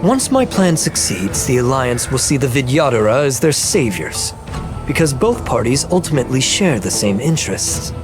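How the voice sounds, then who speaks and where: A proud old clan elder, played by a male actor in a fantasy video game. He speaks formally and with measured grandeur, a schemer sure of his cause, at a middling pitch over background music. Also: big pitch swings, natural voice. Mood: resolute. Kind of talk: dramatic video game voice acting.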